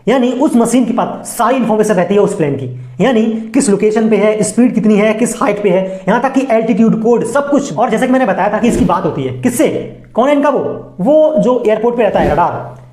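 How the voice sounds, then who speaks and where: A woman's voice: medium pitch, expressive, fairly quick, dark tone. A woman with an Indian accent narrating an informal educational voice-over in a chatty, colloquial way, addressing viewers directly with playful asides. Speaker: explainer video narrator. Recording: roomy, echoing voice-over.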